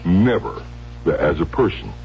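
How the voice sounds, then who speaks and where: Very deep-voiced, expressive American father. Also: unhurried, creaky, husky, exaggerated voice. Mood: affable. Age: middle-aged. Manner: hesitant, placating, paternal. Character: fond, protective widowed father of two grown daughters. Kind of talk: 1970s radio drama acting. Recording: muffled, hissy old radio broadcast with hum.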